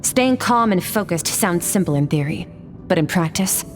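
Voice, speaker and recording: A woman plays a stoic female sword master from a fantasy game. Her voice sits fairly low and stays composed and measured. She instructs bluntly and coolly, over faint music. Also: expressive, close to an everyday voice. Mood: calm, instructive. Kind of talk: video game voice acting.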